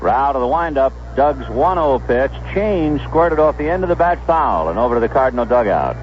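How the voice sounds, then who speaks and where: Guy doing old radio baseball play by play, very up and down voice, measured phrases, kinda dull muffled sound. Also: medium pitch, lively intonation. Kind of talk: live radio sports commentary. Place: stadium broadcast booth. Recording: muffled 1970s AM radio broadcast, background noise.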